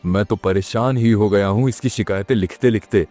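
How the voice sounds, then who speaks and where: A man's voice: fairly low pitch, normal speed, a little mellow, soft background music, close to an everyday voice. A man playing a weary, exasperated village police station chief who grumbles sarcastically in a children's cartoon. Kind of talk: children's cartoon moral story acting.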